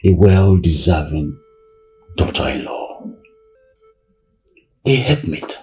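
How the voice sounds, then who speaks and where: An actor playing a proud, wrathful king and father in a film drama, speaking with slow, weighty emphasis and a gravelly voice. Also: deep voice, expressive, a little mellow, faint music behind. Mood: bitter, indignant.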